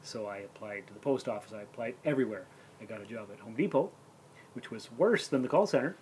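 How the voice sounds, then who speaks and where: A man's voice: mid-range voice, animated, quick pace, a little mellow, slight background noise. A man in his forties hosting a casual podcast chat with friends. He tells stories and laughs often. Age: 40s. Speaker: podcast host.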